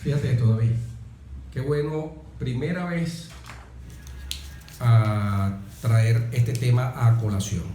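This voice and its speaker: A man with a Venezuelan accent discussing politics earnestly as a guest, emphatic and deliberate, building rhetorical series by repeating phrases. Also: mid-range voice, warm tone, a little hiss or hum. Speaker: interview guest, political commentator. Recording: roomy, echoing sound.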